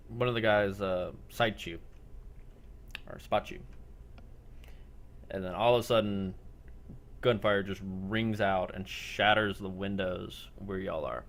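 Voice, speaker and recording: A man narrating a role-play game as game master, speaking in a halting, deliberate way. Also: lowish voice, a bit bright.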